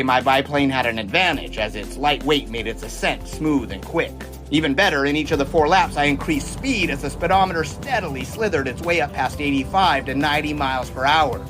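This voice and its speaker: Man playing a jaunty 1920s American pilot, higher-pitched. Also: lightly acted. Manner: jaunty, boastful, wordy old-fashioned phrasing. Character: confident, folksy 1920s American test pilot. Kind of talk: audio drama dialogue and first-person storytelling.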